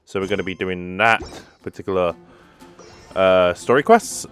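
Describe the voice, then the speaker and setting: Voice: low voice, normal speed, clean tone, faint music behind. A gamer giving casual commentary on a playthrough in muttered asides.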